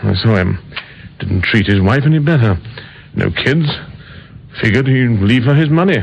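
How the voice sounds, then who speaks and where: A man's voice: medium pitch, animated, moderate pace, vocal fry. An actor playing a cheerfully deranged, smugly proud killer in an old radio drama, chatting breezily as he confesses. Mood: gleeful pride. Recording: muffled, hissy old radio recording.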